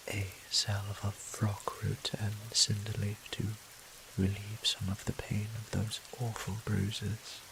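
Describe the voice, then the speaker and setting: A man playing a gentle, doting vampire priest and healer in a roleplay audio drama, speaking softly in formal, old-fashioned, courteous phrasing. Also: fairly low pitch, vocal fry, background noise. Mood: caring, apologetic. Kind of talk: scripted roleplay audio drama, addressing the listener.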